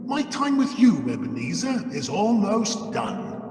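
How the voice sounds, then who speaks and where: A man's voice: high voice, big pitch swings, measured pace, darkish tone, heavily acted. A man playing a solemn, aging Christmas spirit in a radio play, speaking in a grave, declamatory way. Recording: echoey long reverb.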